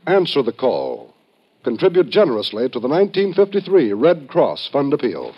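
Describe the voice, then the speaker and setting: American man, 1950s radio announcer, medium pitch, animated melody, solemn scripted delivery, muffled vintage sound. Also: normal speed.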